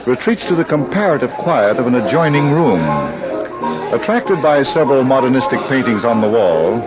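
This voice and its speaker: An actor playing a suave, eerie crime club librarian in deliberate, theatrical old radio style. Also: male voice, mid-range voice, animated, loud music behind the voice, close to an everyday voice. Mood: scene-setting. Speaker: host and narrator of mystery anthology. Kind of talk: 1940s radio acting, dramatic narration. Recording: muffled, noisy old radio recording.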